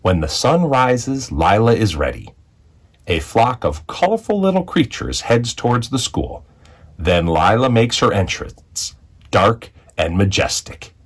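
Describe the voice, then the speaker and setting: A man reading a children's picture book aloud as a storyteller, with expressive narration and deliberate dramatic phrasing. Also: lowish voice. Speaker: read-aloud storyteller, school executive.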